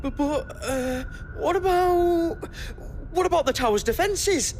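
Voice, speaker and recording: A woman's voice: very high-pitched, lively intonation, measured pace, darkish tone, a little hiss or hum. A woman voicing a streetwise, wary young informant in a fantasy video game, chatty and colloquial. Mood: nervous, cautioning. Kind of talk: video game cinematic drama.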